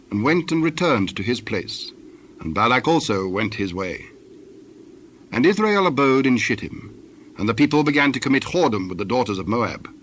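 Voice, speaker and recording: A man narrating scripture from a script in a measured, formal way. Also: mid-range voice, a bit bright, slight background noise.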